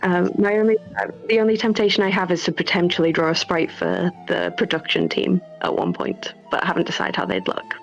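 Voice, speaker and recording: Gravelly, low-voiced woman in casual chat. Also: lively intonation, brisk. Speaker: guest game developer. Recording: slightly dull online call, music behind.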